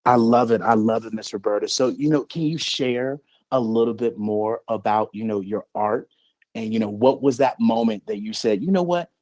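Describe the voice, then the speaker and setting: A man's voice: on the low side, normal speed, a little mellow. A man hosting an online interview, upbeat and polite with his guest. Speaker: host.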